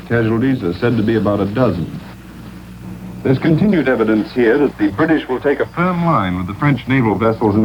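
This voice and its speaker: Through a noisy, dull 1940s shortwave broadcast comes a man with a medium-pitched voice. He reads a scripted wartime news report as a radio correspondent.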